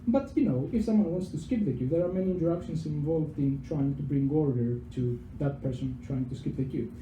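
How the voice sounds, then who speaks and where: A man's voice: fairly high pitch, a little fast, warm tone, slight background noise. A young man from Greece with a Greek accent, giving a casual public science talk to a live audience in a pub. He is chatty and self-deprecating, using lots of fillers and repeated words. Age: young adult. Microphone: handheld microphone. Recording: echoey venue with faint background ambience.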